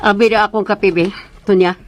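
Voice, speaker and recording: A woman in a radio drama playing a short-tempered, domineering lady of the house with a sharp, scolding manner. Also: medium pitch, steady tone, a little fast, background noise, natural voice. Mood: irritable.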